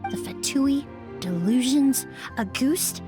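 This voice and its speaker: High-voiced, composed young investigator. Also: female voice, expressive. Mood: stern, probing. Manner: measured, precise, formal. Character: composed young woman investigator, analytical and stern. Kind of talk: dramatic video game voice acting. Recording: game dialogue over background music.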